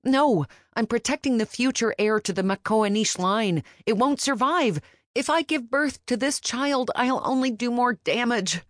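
A woman's voice: medium pitch, moderate pace, dry recording, clean studio sound, voice pushed up, lightly acted. A woman voicing a stubborn, fiery young queen who talks with defiant emotion.

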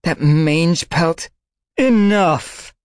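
A man's voice: medium pitch, moderate pace, no room sound, clean studio sound, pitched above the performer's own voice, close to an everyday voice. A man voicing a slim young she-cat who snarls and talks with sarcasm and fiery scorn. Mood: angry.

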